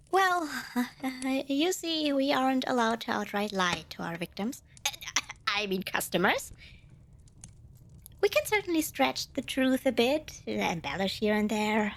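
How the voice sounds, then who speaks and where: A woman's voice: high voice, gravelly, full character voice. A woman playing a tiny, proud and easily flustered female demon in an ASMR roleplay, boastful and indignant, often hedging and correcting herself. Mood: sheepish, reluctant, then salesmanlike, hedging. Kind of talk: ASMR roleplay addressed to the listener.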